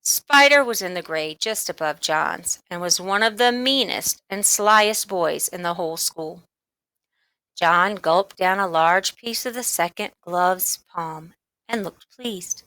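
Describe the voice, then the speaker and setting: A woman's voice: fairly low pitch, slow pace, bright, forceful tone, no room sound. A woman narrating a children's storybook as a read-along audiobook.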